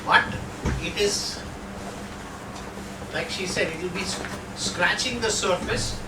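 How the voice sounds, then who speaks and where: A man's voice: high voice, slow, heavy background noise. A middle-aged man with an Indian accent giving a lecture and answering questions in a conversational way, with rhetorical asides. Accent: Indian English. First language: not Hindi. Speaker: guest lecturer. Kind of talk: lecture with audience Q&A. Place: lecture hall. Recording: echoey hall, distant mic.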